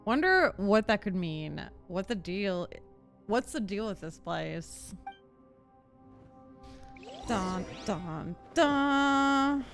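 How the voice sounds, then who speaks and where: A woman streaming a game, her pitch very animated while her pace stays slow and drawn out. She comments casually in a playful, slangy, giggly way, with game music underneath. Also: creaky.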